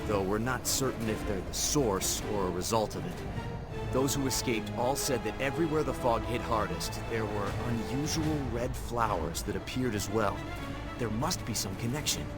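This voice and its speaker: An actor playing a grim, dutiful military commander in a video game, weary but resolute, with measured, earnest, commanding speech. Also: male voice, on the low side, close to an everyday voice. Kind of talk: scripted video game voice acting. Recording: loud game music behind the voice. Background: game soundtrack and ambient effects.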